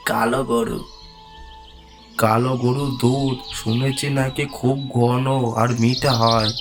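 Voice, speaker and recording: An eager, stubborn villager, played by a man, speaks in a mid-pitched voice. He is exclamatory and repeats words in cartoon style, over faint music. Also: natural voice. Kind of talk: cartoon voice acting.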